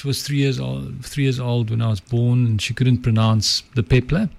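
A middle-aged man from South Africa speaks with an Afrikaans accent and a gravelly, fry-tinged voice. He talks in a measured, reflective way, often letting his sentences drop away quietly. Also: medium pitch, steady tone. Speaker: guest, advertising entrepreneur and author. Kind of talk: reflective interview talk.

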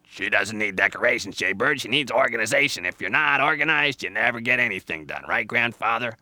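A man's voice: mid-range voice, lively intonation, fairly quick, sharp tone, dry recording. A man voicing a fussy, bossy, nervous squirrel with an officious, chattering manner in a children's story.

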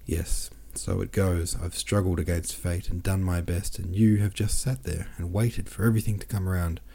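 A grieving, outspoken older woman in an audiobook , read by a man in his own low voice. The tone is dark and mellow, with only a light touch of character. She speaks with feeling. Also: level tone, normal speed, gravelly, slightly rough, heavily acted. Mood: brisk.

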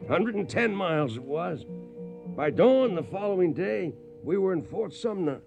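An actor playing an old trail hand reminiscing in a folksy way, as narrator of a western radio drama. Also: male voice, high-pitched, lively intonation, moderate pace, creaky, a little mellow, soft background music. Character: old trail hand recalling a cattle drive.

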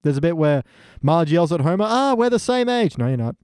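Mellow-voiced man in casual review chat. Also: a little high, sing-song, brisk, clear, resonant tone, dry recording, clean studio sound. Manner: acts out quotes in exasperated, incredulous asides. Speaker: podcast panelist reviewing a TV cartoon.

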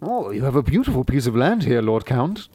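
A man's voice: mid-range voice, very animated, fairly quick, dark tone, no echo. A man voicing an admiring, amazed king in a storybook reading. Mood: impressed.

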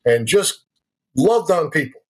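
Older male guest, husky tone, relaxed folksy anecdotes, ends lines with "right?". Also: a little high, unhurried. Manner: chatty, folksy, checks in with "right?". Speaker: guest, former radio broadcaster. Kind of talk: conversational storytelling. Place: local to the show's town.

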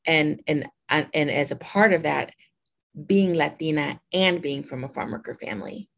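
A woman's voice: deep voice, moderate pace, gravelly. A woman from the United States speaking earnestly and reflectively as an interview guest. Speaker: guest, attorney and advocate. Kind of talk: conversational interview.

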